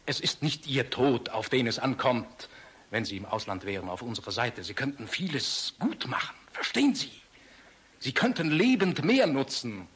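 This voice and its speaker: An actor playing an earnest, idealistic engineer in the secret resistance, speaking solemnly in a German radio play. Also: male voice, medium pitch, big pitch swings, moderate pace, a bit bright, slightly rough. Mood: pleading.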